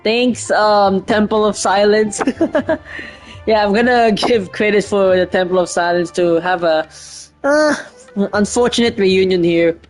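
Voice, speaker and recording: Unhurried female gamer commentating casually. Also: medium pitch, faint music behind. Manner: excitable fan reactions. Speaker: game streamer.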